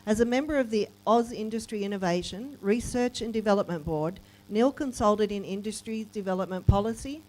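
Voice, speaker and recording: A woman's voice: medium pitch, darkish tone, poor audio. Woman councillor reading a formal introduction. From Australia. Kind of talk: reading a prepared profile.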